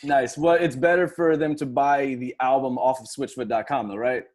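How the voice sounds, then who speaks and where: A man's voice: mid-range voice, steady tone, normal speed, darkish tone. A man hosting a casual radio interview in a chummy, joking manner, ribbing his guests. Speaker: radio host.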